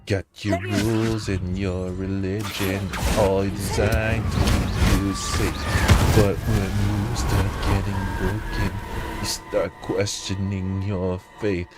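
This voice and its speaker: A man's voice: low-pitched, little pitch movement, slow, over loud music. A man streaming a video game, casually commenting, joking to himself and singing or humming along. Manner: goofy, sings and hums along, talks to himself.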